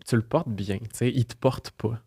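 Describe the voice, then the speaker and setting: A man's voice: medium pitch, moderate pace, vocal fry, crowd noise. A man with a Quebec French accent chatting casually as a podcast co-host, tossing in jokey quips. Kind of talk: casual humorous podcast chat.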